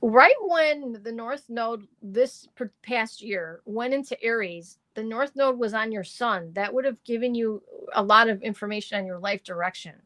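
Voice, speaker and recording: A middle-aged woman doing live astrology and tarot readings for viewers, rambling and chatty with lots of fillers, her voice slightly hoarse and deepened. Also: moderate pace, fairly bright tone, dry recording.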